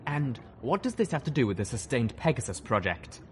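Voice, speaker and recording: A man voicing a gruff, sardonic pegasus colonel in an audio drama, with an emphatic, challenging delivery. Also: medium pitch, very animated, a little fast, big-room sound, a little hiss or hum, pitched above the performer's own voice, close to an everyday voice. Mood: probing.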